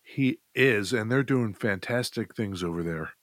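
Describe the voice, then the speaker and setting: A man hosts a casual podcast interview. His slightly low voice moves up and down in a lively way, and the sound is dry and close. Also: unhurried, no room sound. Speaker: podcast host.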